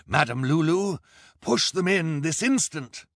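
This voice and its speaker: A man's voice: fairly high pitch, lively intonation, unhurried, a bit bright, dry recording, clean studio sound, voice pushed up. A man voicing a menacing male villain in a storybook, imperiously barking orders. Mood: furious, commanding.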